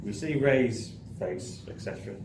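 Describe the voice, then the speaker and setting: A British man hosting a film review show in casual chat, speaking haltingly and often repeating his words. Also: mid-range voice. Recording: roomy echo, clearly audible background noise.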